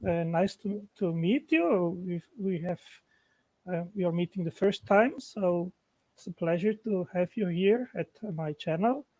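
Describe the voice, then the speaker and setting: A man from Switzerland with Italian and Swiss German as his first languages, hosting a casual interview and pausing often with fillers. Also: high-pitched, dark tone, poor audio. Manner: hesitant, many fillers. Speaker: host.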